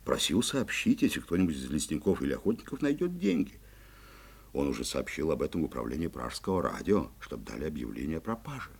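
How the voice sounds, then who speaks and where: A man's voice: a bass voice, very animated, quick pace, gravelly, darkish tone, husky, exaggerated voice. An actor playing a kindly forester father in a children's audio play, marvelling and emphatic as he explains things to his boy. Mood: astonished, explaining. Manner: emphatic storytelling to a child, astonished. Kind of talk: 1970s Soviet audio play acting.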